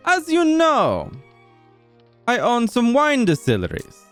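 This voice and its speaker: A man's voice: very high voice, huge pitch swings, clean tone, faint music behind, full character voice. Man playing a suave, swooping vampire lord. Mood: probing, controlled. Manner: courteous, theatrical, deliberate. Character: suave, formal vampire lord hosting a soiree. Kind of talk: Minecraft roleplay acting.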